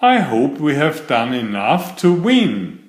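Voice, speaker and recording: A man's voice: mid-range voice, wildly swooping pitch, slow. A man voicing a keen, competitive male train driver in a storybook, urging and exclaiming. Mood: excited. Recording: roomy, echoing voice recording.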